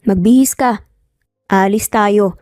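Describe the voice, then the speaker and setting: A woman's voice: mid-range voice, takes it slowly, darkish tone, no room sound, studio quality, faint music behind, lightly acted. A woman voicing a curt, bossy, rich male employer in a romance audio story, commanding and dismissive. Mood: impatient, bossy.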